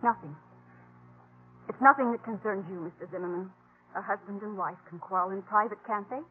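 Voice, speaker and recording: A woman's voice: mid-range voice, a little fast, breathy edge, phone-quality sound, soft background music, close to an everyday voice. An actress playing a desperate, defiant young mother held captive, in 1950s American radio drama style. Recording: noisy, band-limited 1950s radio recording.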